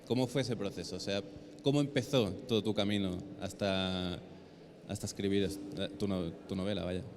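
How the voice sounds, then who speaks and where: A man's voice: deep voice, fairly even intonation, moderate pace. A man working as an interviewer in a casual live setting, phrasing his questions informally and hesitantly. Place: public comic convention. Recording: noisy convention ambience.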